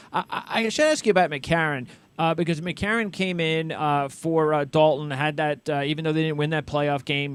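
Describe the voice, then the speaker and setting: Clear-voiced man, slightly higher pitch, interviewing. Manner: halting, rephrases questions mid-sentence. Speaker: interviewer, sports show host. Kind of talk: casual sports interview.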